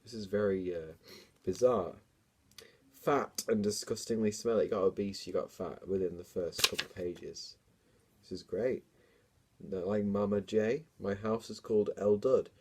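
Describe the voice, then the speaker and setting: A man's voice: on the low side, steady tone, measured pace. A young man doing a dramatic reading of a story, breaking into casual, self-mocking asides. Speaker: comedian reading own childhood book.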